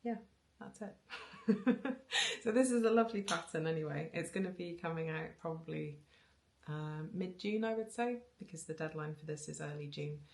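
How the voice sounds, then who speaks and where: A woman in her thirties talks casually into a camera in her living room, her voice low and touched with vocal fry. She rambles along with ums and restarts, breaking into small laughs. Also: moderate pace, darkish tone. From Wales. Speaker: knitting vlogger. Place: living room with bookshelves, indoors. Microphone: camera on a tripod facing her.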